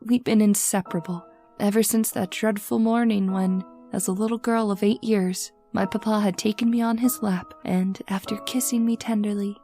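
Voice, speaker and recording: A woman's voice: mid-range voice, steady tone, normal speed, warm tone, faint music behind, natural voice. A woman playing an anxious, devoted young wife and daughter in an old-time radio horror drama, earnest and melodramatic. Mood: tender, reflective. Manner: earnest, melodramatic, formal phrasing. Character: anxious, devoted young married daughter narrating her haunting.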